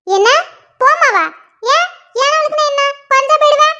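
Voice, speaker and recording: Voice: animated, moderate pace, sharp tone, heavily acted. A performer voicing a nagging mother who scolds her two daughters over housework, on a dull lo-fi recording. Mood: scolding. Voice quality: shrill, nagging. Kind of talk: one-person comedy skit.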